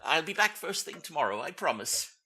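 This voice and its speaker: A man's voice: a little high, big pitch swings, a little fast, pushed and bright, breathy edge, heavily acted. A man playing a fretful, put-upon Victorian clerk who stammers apologies and pleads, in a comedic audio drama. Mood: pleading, fearful.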